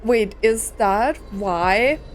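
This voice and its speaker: A woman's voice: on the high side, extremely slow, faint music behind. A woman streaming a video game with casual playthrough commentary, sometimes reading on-screen lines aloud.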